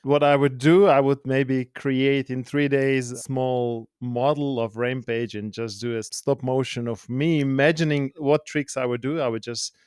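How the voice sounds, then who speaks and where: A man's voice: medium pitch, steady tone, measured pace, hardly any pauses, a little mellow, clear, resonant tone, no room sound, clean studio sound. A man from Croatia with a Croatian accent, calling in remotely and casually telling his life story as an interview guest. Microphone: remote call.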